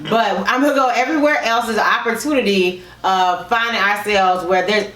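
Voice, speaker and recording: A woman's voice: mid-range voice, fairly quick. A woman in her thirties co-hosting a casual conversation, speaking animatedly and agreeing often, seated at a table indoors. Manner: animated, emphatic agreeing, frequent "right". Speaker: co-host. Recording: roomy echo.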